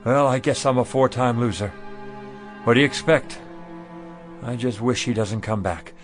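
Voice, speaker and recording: An actor playing an anxious, wistful married shopkeeper in a radio drama, earnest and emotional in delivery. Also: male voice, mid-range voice, normal speed, close to an everyday voice. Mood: shaken. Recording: music behind the voice.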